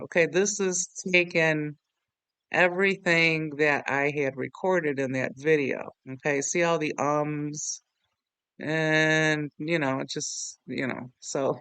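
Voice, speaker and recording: A man hosting a software tutorial in a casual, rambling, self-deprecating way. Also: fairly high pitch, clear, resonant tone, no room sound. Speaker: tutorial host.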